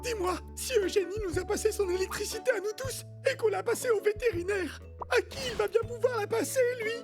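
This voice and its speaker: A woman's voice: very high voice, brisk. A woman voicing a young streetwise cartoon wild boar, slangy, sceptical and full of questions. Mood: curious. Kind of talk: cartoon voice acting. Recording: cartoon music behind.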